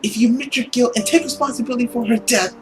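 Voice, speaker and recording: Man putting on an earnest, high-voiced young woman. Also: fairly even intonation, fast, background noise. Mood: stern, reasoning. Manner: earnest, insistent. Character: earnest young woman, troubled, accusing and pleading. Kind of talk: visual novel character acting.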